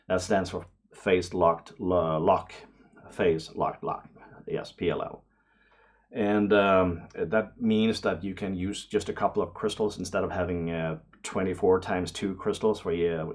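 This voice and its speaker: A man giving casual unboxing commentary to camera, excitable and prone to awestruck exclamations and hesitations. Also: deep voice. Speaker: vlogger, radio amateur hobbyist.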